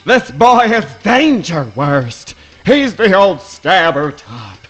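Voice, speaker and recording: A man acts a doleful cartoon porcupine in a comic radio play. The voice is high and husky, dragging slowly through swamp dialect. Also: big pitch swings, fairly bright tone, heavily acted. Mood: glum, warning. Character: glum, slow, grumbling male porcupine.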